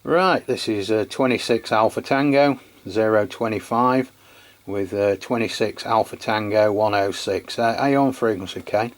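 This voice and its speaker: A man's voice: fairly low pitch, moderate pace. A man hosts a radio gear test. He talks in a casual, chatty review style, as if in conversation with a fellow hobbyist. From England. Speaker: radio channel host testing equipment.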